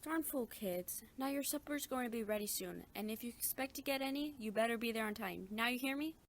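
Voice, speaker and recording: Actress as a gruff, scolding country woman. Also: on the high side, a little fast, lightly acted. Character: cross, scolding guardian of two children. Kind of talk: radio drama acting.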